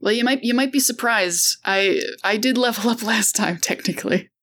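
A woman's voice: fairly high pitch, normal speed, a bit bright. Chatty woman player, stammering. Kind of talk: casual tabletop game chat.

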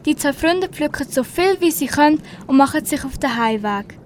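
A woman's voice: high-pitched, lively intonation, normal speed, slight background noise. A teenage girl reading scripted story narration for an amateur radio play.

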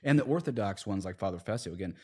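A man with a low-pitched voice talks as a guest priest on a religious podcast. He is earnest and conversational, setting out his points in plain words and everyday analogies. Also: animated, fast, mellow, breathy edge.

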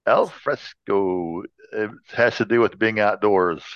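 A man with a fairly low voice banters casually as a podcast co-host, riffing and guessing playfully. The recording is dry and a little dull. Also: animated, takes it slowly, no echo. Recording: slightly dull, lo-fi sound.

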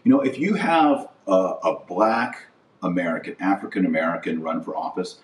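A man delivering a political and religious monologue as a podcast host, emphatic and deliberate, repeating phrases for weight. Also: deep voice. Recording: roomy echo, distant microphone.

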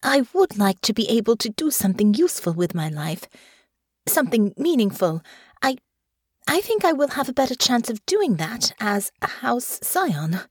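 An eager young noblewoman, as a woman puts her on, pitched up and lively, tripping over her own words when pressed. Her earnestness runs through every line. The sound is close and dry. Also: breathy edge, no room sound. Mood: earnest, flustered. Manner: eager, halting, stumbling restarts.

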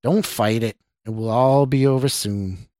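A man's voice: mid-range voice, a little slow, darkish tone, dry recording, clean studio sound, lightly acted. Man playing an eager, scheming mad doctor. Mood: falsely soothing. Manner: eager, coaxing, condescending. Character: excitable, scheming male doctor, smugly confident mad scientist. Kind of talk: amateur dramatic story reading.

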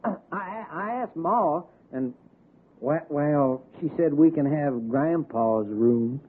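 An actor playing a simple, lovesick young hillbilly with an Appalachian accent, halting and eerily gentle, in a radio drama. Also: male voice, fairly high pitch, husky. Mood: dreamy, smitten. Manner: slow, halting, folksy. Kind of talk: 1960s radio suspense acting. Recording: tinny, noisy 1960s radio recording.

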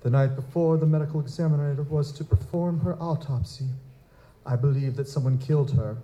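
Male actor as young aristocrat for audio drama: extremely soft-edged dark tone, slightly raised pitch, formal, courteous, grave. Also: lightly acted, rough recording. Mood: grave, grieving. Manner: formal, aristocratic, deliberate phrasing. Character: formal, grieving young nobleman baron, courteous and determined. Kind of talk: live audio drama acting.

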